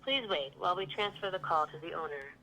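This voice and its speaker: A woman's voice: fairly low pitch, fairly quick, bright, forceful tone, poor audio. A synthetic woman's voice as a polite virtual assistant, giving scripted, neatly phrased customer service. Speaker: virtual phone receptionist.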